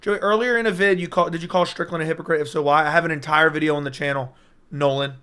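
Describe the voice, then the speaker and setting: A young man talks loosely to his livestream chat, rambling and hyped, answering comments as they come in. His voice is strained and keeps cutting in and out, though its tone underneath stays clear. It sits a little high for a man. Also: steady tone, fast, sharp tone. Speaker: MMA YouTuber hosting a livestream. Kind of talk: casual livestream chat reading viewer comments. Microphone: personal streaming mic.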